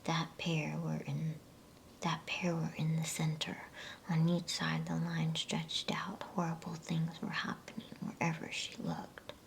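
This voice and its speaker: A woman softly whispering an ASMR bedtime story, reading in a slow, soothing manner with pauses between phrases. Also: low-pitched, completely flat delivery, creaky, poor audio.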